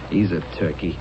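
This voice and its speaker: An actor playing a defensive, earnest young jazz musician with hesitant, slangy speech in a 1970s radio drama. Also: male voice, sits low. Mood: flippant. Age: young adult. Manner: hesitant, stammering, hip slang. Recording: muffled, noisy old radio broadcast recording.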